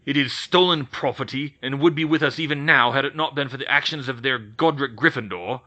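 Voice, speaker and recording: A man as goblin ambassador, gruff, growling, haughty, scornful, storybook. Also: mid-range voice, fairly quick, sharp tone, highs cut off, pitched above the performer's own voice, lightly acted. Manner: growling, contemptuous.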